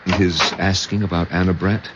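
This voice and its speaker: An actor playing a concerned, doubting but fond husband in a 1970s radio drama. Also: male voice, sits low, level tone, moderate pace, lightly acted. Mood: puzzled. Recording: noisy, dull old radio recording.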